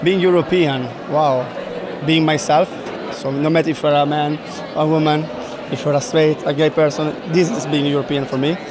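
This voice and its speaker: A young man from Germany, a young activist answering a vox pop question in an earnest, halting way. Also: a little high, little pitch movement. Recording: loud crowd chatter around.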